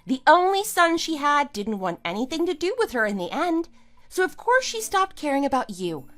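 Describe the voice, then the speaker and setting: A woman's voice: sits high, big pitch swings, moderate pace, a bit bright, soft background music. A woman playing a scornful, triumphant wife in an audio drama, with a sarcastic, emphatic delivery. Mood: cold, firm. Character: wronged wife, scornful and triumphant, kicking out cheating husband. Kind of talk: amateur audio drama acting.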